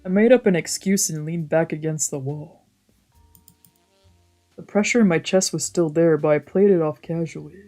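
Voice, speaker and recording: A woman's voice: sits low, normal speed, pauses often, warm tone, soft background music. A woman VTuber streamer reading visual novel narration aloud in a dramatic storytelling style.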